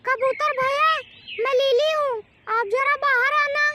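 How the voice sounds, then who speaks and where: A performer voicing an anxious, pleading mother bird in an animated moral story, over loud background noise. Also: very high-pitched, a little slow, full character voice. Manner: worried, pleading.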